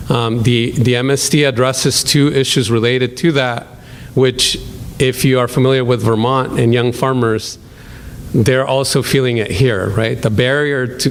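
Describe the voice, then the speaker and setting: A man with a Salvadoran Spanish accent introduces a guest speaker at a university talk. He speaks at an ordinary pitch, pausing often with ums and starting sentences over. A faint hum runs behind him. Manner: hesitant, many ums and restarts. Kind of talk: lecture hall introduction.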